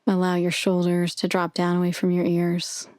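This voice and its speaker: A woman narrating a guided meditation with soothing, measured, gentle instruction. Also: on the low side, monotone, dry recording, clean studio sound.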